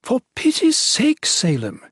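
A man's voice: mid-range voice, very animated, brisk, husky, no room sound, studio quality, voice pushed up. A man voicing a fussy, exasperated young acolyte with prim, educated, scolding speech in an audiobook. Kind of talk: audiobook character voice.

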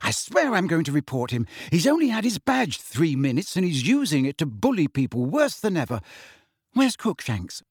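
A man puts on a bossy teenage girl from a storybook. She is brisk, emphatic and quick to take offence, her pitch lifting and moving freely. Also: big pitch swings, husky, no echo, clean studio sound, heavily acted. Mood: indignant. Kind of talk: audiobook character acting.

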